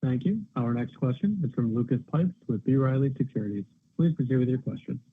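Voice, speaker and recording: A man's voice: medium pitch, a little fast, slightly rough. A man making scripted announcements as a conference call operator. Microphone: telephone. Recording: noisy, tinny phone line.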